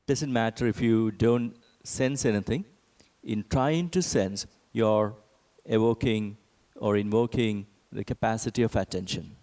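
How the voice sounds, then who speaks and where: Slow, low-voiced man guiding meditation. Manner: gentle, measured, with long pauses. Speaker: meditation and yoga teacher. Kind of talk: guided meditation instruction.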